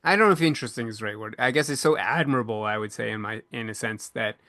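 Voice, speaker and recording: Bright-voiced man, earnest podcast host. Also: mid-range voice, no room sound, studio quality. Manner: earnest, halting, searching for words. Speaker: podcast co-host and panel moderator. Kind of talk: reflective panel discussion about books.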